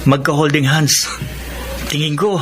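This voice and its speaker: An actor playing a cheerful, chatty young family friend in a Filipino radio drama. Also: male voice, fairly high pitch, fairly even intonation, unhurried, music in the background, natural voice. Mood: cheerful, amused.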